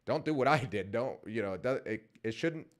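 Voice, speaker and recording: A man's voice: mid-range voice, lively intonation, fairly quick. A man in his forties hosting his own vlog, talking casually and rambling with many fillers and restarts. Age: 40s. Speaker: vlogger and podcast host.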